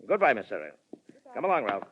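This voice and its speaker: An actor playing a hearty, forceful ex-president colonel with an emphatic, confident delivery in 1940s radio style. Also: male voice, medium pitch, wildly swooping pitch, fast talker. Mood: jovial. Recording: muffled 1940s radio broadcast recording.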